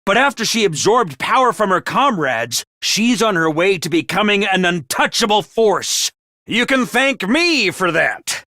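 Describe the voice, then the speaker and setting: A chipper commanding officer of young soldiers sounds high, bright and sharp, with a bouncy, lively lilt. He rallies with drill-sergeant cheer that hides a cold, ruthless streak. The studio sound is dry and close. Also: measured pace, dry recording, exaggerated voice. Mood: briefing. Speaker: voice actor for a video game character. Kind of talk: game character voice lines.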